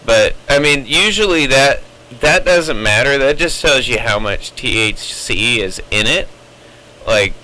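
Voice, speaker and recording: Bright-voiced man rambling on CB radio. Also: lowish voice, poor audio. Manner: rambling explainer, opinionated. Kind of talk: casual chat over CB radio. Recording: CB radio chatter with faint noise.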